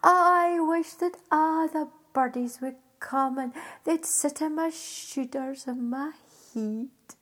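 A woman with a Scottish accent voicing an excitable, simple old husband in a folk tale, breathless and eager. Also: sits high, slow pace, hardly any pauses. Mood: excited, secretive.

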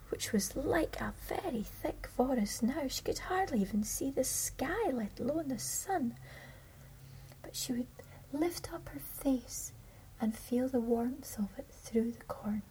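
A woman narrating a fairy tale in a soft, mostly unvoiced whisper, slow and gentle. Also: a little high, a little hiss or hum, poor audio. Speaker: storyteller.

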